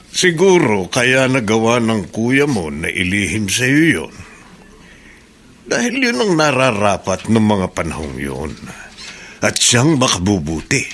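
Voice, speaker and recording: An actor in a Tagalog radio drama playing a concerned, fatherly older man who gives gentle counsel. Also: lowish voice, a little hiss or hum, exaggerated voice.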